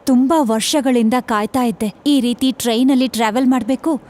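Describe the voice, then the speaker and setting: A woman's voice: on the high side, fairly even intonation, moderate pace, a little mellow, natural voice. A woman voicing a mysterious young female ghost posing as a train passenger in a horror story, coy and unruffled. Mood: eerily playful. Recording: music behind the voice.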